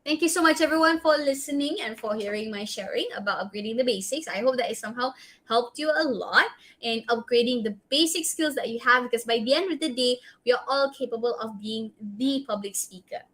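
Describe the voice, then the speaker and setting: A woman with a Malaysian accent coaches an audience on public speaking, her voice sitting a little high. She gives direct, practical advice and keeps checking in with tag questions like "right?" and "okay?". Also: brisk, fairly bright tone. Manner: tags sentences with "right?" and "okay?". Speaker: guest speaker. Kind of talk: public speaking workshop talk.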